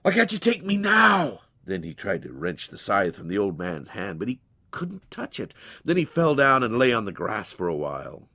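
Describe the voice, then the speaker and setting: Animated man narrating a folksy story. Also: sits low, normal speed. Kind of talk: expressive dramatized literary narration.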